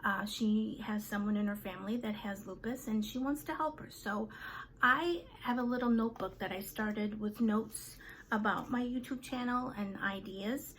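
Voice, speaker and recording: A woman in her fifties in a casual vlog, talking to her viewers in a chatty way with many fillers and pauses. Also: mid-range voice, fairly bright tone, slight background noise, low-quality recording. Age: 50s. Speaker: vlogger. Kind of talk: casual chat to camera.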